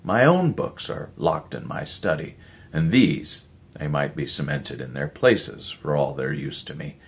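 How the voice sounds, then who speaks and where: A man's voice: rumbling low, lively intonation, a little slow, creaky, full character voice. A man voicing a grim male scientist in a story, speaking in a grave, explanatory manner.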